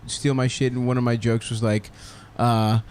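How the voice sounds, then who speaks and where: A man's voice: mid-range voice, level tone, normal speed, darkish tone, slight background noise. Rambling, laughing young comedian guy. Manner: rambling profane storytelling, laughs often. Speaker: comedian podcast host. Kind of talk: casual comedy podcast chat.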